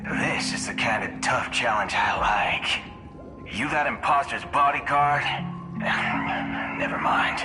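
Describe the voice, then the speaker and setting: A man's voice: mid-range voice, slow, close to an everyday voice. Man acting a cocky gunslinger in cavernous reverb. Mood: taunting amusement. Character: cocky, menacing gunslinger ranger man, wry and taunting. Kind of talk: dramatic video game voice acting. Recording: long reverb, loud music behind.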